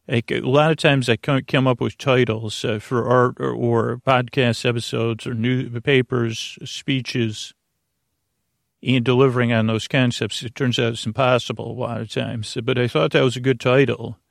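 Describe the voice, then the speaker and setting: Creaky-voiced man rambling in halting starts. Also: mid-range voice, no echo, studio quality. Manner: meandering, halting, self-interrupting with frequent stammered restarts. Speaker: podcast host. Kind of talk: rambling bedtime sleep podcast monologue.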